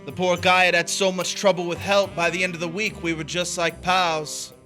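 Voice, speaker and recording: Male, cynical young noir drifter, terse and wry, forceful bright tone, soft guitar behind, radio drama. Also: high-pitched, little pitch movement, normal speed. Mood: cool, sly. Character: cynical young drifter narrating his own downfall, hardboiled.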